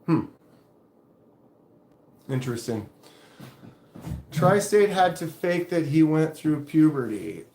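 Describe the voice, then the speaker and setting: A man with a deep voice hosting a casual live stream, rambling loosely and reading viewer comments aloud. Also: takes it slowly, long pauses, echoey room, a little hiss or hum. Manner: relaxed, rambling, reads chat aloud. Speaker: knife channel live streamer.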